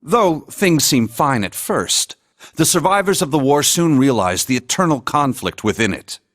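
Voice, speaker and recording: A man's voice: fairly high pitch, very animated, moderate pace, fairly bright tone, dry recording, clean studio sound. A man acting in a video game as a grave storyteller who recounts ancient lore in a dramatic way. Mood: grave, portentous. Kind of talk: scripted narration of a legend.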